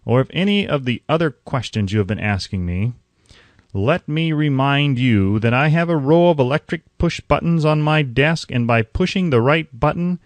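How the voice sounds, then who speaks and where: A man's voice: mid-range voice, no echo, close to an everyday voice. A man voicing a self-assured, exasperated industrialist in an audiobook, speaking pointedly and emphatically. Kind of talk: acted audiobook quotation.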